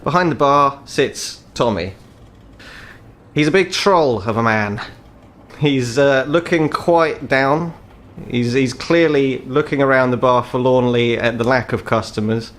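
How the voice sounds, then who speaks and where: A man's voice: medium pitch, unhurried, fairly bright tone. A man narrating a tabletop game as game master, in a wry, descriptive storytelling manner.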